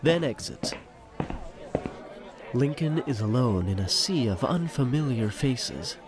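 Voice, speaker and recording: A man's voice: medium pitch, lively intonation, slow pace, crowd noise. A man narrating a scripted audio drama in a wry, teasing manner.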